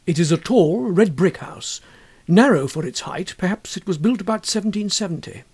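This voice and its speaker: A man with a husky voice narrating a literary ghost story in a measured, expressive way. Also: high voice. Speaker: audiobook narrator.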